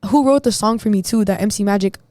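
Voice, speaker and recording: A young woman singer with a deep voice, talking bluntly and defiantly with a lot of slang in a casual podcast chat. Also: fast talker, mellow, no echo, studio quality. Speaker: singer and guest.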